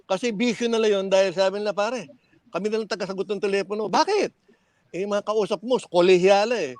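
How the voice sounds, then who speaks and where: An older man with a Filipino accent reminiscing casually, halting as he recalls details and acting out quoted dialogue. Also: sits high. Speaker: former radio DJ and program director, guest on a reunion show.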